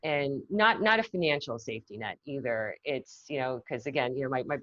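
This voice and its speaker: Low-voiced woman guest, halting and thoughtful. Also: lively intonation, fairly bright tone, dry recording, dull audio. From Massachusetts, north of Boston. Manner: thoughtful, peppered with "you know" and restarts. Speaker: guest, marketing executive. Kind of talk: casual conversational interview.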